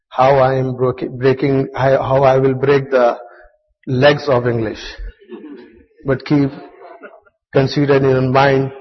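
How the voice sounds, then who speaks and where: A man with a Pakistani accent and a slightly husky voice gives a religious talk in English, his second language after Urdu. He speaks in a measured, earnest way. The recording sounds dull and low-fidelity. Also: big-room sound. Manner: measured, earnest, chants some Arabic lines. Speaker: guest speaker. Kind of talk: religious talk with Arabic recitation.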